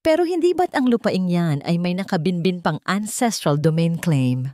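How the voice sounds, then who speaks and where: A woman plays a polite young husband in a story drama. He is calm and measured, with quiet weight behind his courtesy, in a close dry recording. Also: lowish voice, no echo.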